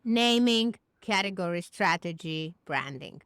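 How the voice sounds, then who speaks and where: A woman's voice: lowish voice, animated, a little slow, fairly bright tone, clean tone, no room sound, clean studio sound. A woman from Romania, answering interview questions confidently as a business founder. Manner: confident, matter-of-fact. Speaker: guest, naming agency founder.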